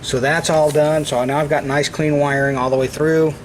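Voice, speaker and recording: A man giving an unscripted, halting step-by-step installation walkthrough, explaining as he works. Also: a little high, level tone. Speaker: DIY vlogger. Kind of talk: hands-on installation walkthrough, explaining as they work. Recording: clearly noisy location recording with ambient sound.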